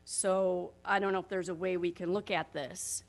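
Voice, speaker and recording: A property manager with a loud voice, presenting figures at a town council work session over a room microphone in council chambers. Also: female voice, fairly low pitch, steady tone, moderate pace. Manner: plainspoken, talks through her figures with frequent "so what I did".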